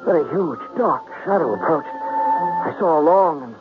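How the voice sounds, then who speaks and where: An actor playing a desperate, dying man in delirious terror, gasping and halting, in a 1970s radio drama. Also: on the high side, wildly swooping pitch. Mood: delirious dread. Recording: muffled 1970s radio recording with music.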